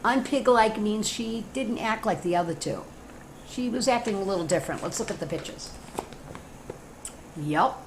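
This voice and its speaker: A woman teacher reads a picture book to children in a mid-pitched voice, stepping out of the story for chatty asides. She speaks playfully and straight to her young listeners, on an amateur recording with faint background noise. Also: animated, normal speed, long pauses, rough recording.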